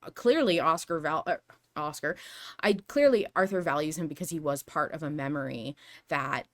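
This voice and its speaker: A woman's voice: sits low, normal speed. Chatty, emphatic woman podcasting. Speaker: podcast host. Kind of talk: casual fan discussion podcast.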